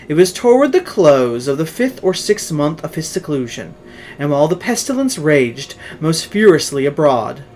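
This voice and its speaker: Theatrical horror storyteller with swooping pitch. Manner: theatrical, with drawn-out emphasis. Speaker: host and narrator of horror story readings. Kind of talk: dramatic scripted horror story narration.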